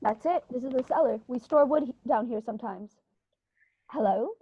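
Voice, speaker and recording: A woman playing a flustered, excitable country gentleman in a radio play, full of fussy exclamations. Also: fairly high pitch, normal speed, slightly rough, phone-quality sound. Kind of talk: amateur radio play acting.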